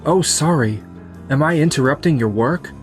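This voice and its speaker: A man's voice: on the high side, expressive, moderate pace, faint music behind, lightly acted. A man voicing a kind, sincere young businessman in a romantic comedy manga dub.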